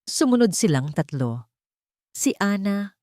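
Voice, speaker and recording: A woman's voice: medium pitch, very animated, unhurried, dark tone, no echo, clean studio sound. A woman reading scripted dramatic story narration in an earnest, sentimental manner. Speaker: narrator and host of a storytelling channel.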